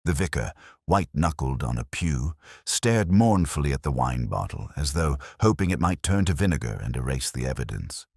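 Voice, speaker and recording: A very low-voiced man tells a mystery story with dry, literary wit. His delivery is measured yet swings widely in pitch, with a husky edge and a close, dry sound. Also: sing-song, a little mellow, no echo. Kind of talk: scripted dramatic story narration.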